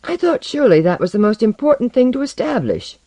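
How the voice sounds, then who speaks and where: Reader putting on an anxious earnest guy, proud, insistent questions, pitched up, storybook character. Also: sing-song, fairly quick, mellow, dry recording. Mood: insistent, puzzled. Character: anxious, proud, searching male blank character seeking identity.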